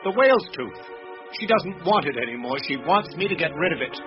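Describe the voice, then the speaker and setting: A man's voice: on the high side, very animated, fairly quick. An actor playing an agitated, protective middle-aged father, a professor, with indignant sarcasm in a radio drama. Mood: anxious, insistent. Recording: dull lo-fi radio drama with music underneath.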